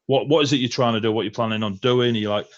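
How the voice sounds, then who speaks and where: A man's voice: medium pitch, fairly even intonation, very fast, no room sound, muffled recording. A man running a tabletop game as game master, chatty and rambling, with fillers and laughs.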